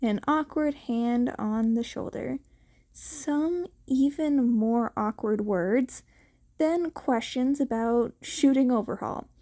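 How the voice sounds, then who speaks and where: A woman reading scripted fiction aloud as an amateur audiobook narrator, with a halting delivery and small stumbles. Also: a little high, dark tone.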